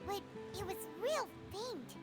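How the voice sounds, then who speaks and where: A woman voicing a tiny, chatty, excitable fairy companion in a video game, with a squeaky childlike voice. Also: takes it slowly, heavily acted. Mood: puzzled, alert. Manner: chatty, refers to self in third person. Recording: prominent game music behind.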